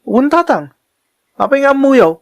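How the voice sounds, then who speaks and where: Actor as wronged young Ilocano husband, very high male voice, emotional, pleading, radio drama delivery. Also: sing-song, measured pace, gravelly, full character voice. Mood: doubting, worried. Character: betrayed young husband, wounded and doubting. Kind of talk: Ilocano audio drama acting.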